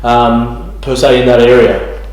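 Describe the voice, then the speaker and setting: A man interviewing a church guest, prompting gently with uhs and ums. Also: medium pitch, little pitch movement, a little slow, very echoey, rough recording. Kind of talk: interview questions.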